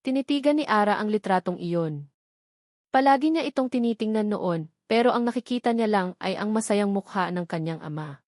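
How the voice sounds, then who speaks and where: A woman's voice: mid-range voice, animated, moderate pace, a little mellow, dry recording, clean studio sound. A woman narrating a scripted love story in a solemn, suspenseful, dramatic manner.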